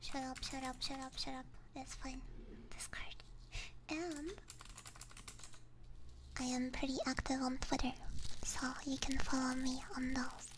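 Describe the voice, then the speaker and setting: Female ASMR streamer, hushed breathy whisper, unhurried, playful, close-miked with faint background noise. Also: sits high, flat delivery. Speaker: livestream host. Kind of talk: whispered ASMR livestream chat. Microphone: close binaural ASMR microphone.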